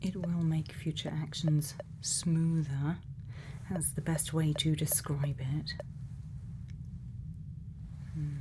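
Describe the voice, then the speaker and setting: A woman doing a soft-spoken, often whispered ASMR roleplay as a caring scientist, speaking gently and without hurry in a soothing way. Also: low-pitched, flat delivery, takes it slowly, pauses often. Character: sweet, caring scientist speaking to a robot friend. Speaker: ASMR performer playing a scientist. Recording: faint background noise.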